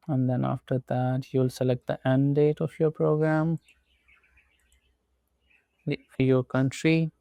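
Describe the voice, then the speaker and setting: A man from Pakistan, speaking English as a second language, guides a screen walkthrough step by step. His voice is gravelly and mellow, with a flat, even intonation. The sound is dry and close, with no room echo. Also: medium pitch. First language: not English. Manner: slow, methodical step-by-step instructions. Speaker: tutorial presenter. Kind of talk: screen-recorded walkthrough narration.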